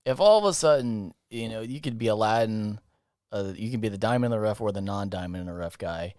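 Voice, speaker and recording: A young man co-hosting a podcast, chatting in a crude, joking way and breaking into silly voices and loud laughter. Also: fairly low pitch, brisk, no echo, clean studio sound. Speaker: podcast co-host. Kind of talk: casual comedic chat.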